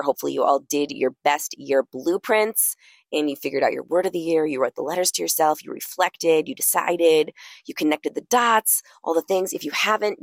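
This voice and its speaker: Sick woman podcast host, scratchy gravelly voice, still upbeat, dry close mic. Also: low voice, no room sound. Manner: energetic and upbeat, talks straight to listeners. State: under the weather, scratchy voice. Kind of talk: motivational self-help talk.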